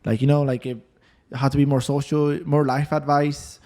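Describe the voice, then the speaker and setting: A man's voice: a little high, flat delivery, normal speed, warm tone. Young man in slangy podcast banter. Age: 20s. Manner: slangy, swearing, bro-heavy banter. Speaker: podcast co-host. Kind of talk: casual podcast chat with a friend.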